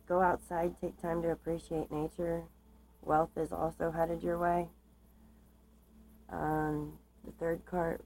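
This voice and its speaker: A woman giving a tarot card reading, reading aloud and explaining in a measured, halting way, her voice slightly starting to give out. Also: on the low side, vocal fry, darkish tone, slight background noise, poor audio. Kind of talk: tarot reading, part read aloud from cards, part informal explanation.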